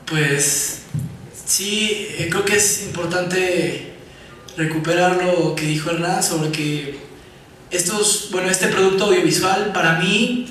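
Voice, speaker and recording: A man's voice: sits high, steady tone, takes it slowly, a bit bright. A man on a panel, speaking hesitantly with many fillers and tag questions. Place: festival screening hall. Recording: echoey hall, distant mic, faint noise.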